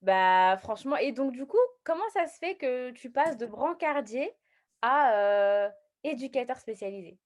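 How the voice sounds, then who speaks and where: Female host, slightly high voice. Chatty, upbeat and encouraging, putting questions to a guest. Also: expressive, measured pace. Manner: enthusiastic, encouraging. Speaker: interviewer, show host. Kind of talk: casual live interview chat.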